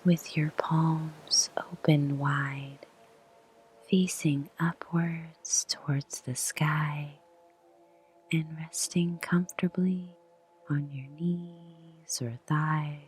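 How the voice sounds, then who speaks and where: A woman guiding a meditation in a soft whisper, with a slow, soothing delivery and long pauses. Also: low voice, fairly even intonation, slightly rough, faint music behind. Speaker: meditation guide. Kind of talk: guided meditation.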